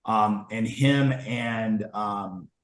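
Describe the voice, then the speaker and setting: A man's voice: fairly low pitch, drawn-out words, roomy sound. A man presenting to a committee on a video call, talking casually and rambling with many ums and wry asides. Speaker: committee member presenting a supplier.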